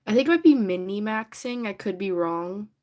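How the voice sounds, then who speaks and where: A young woman hosting a casual livestream, chatty and giggly, her voice a little raspy from allergies. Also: medium pitch, animated, normal speed, darkish tone. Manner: chatty, giggly, rambling with many "like"s. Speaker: livestream host. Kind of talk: casual livestream chat while painting a figure. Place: indoors at home.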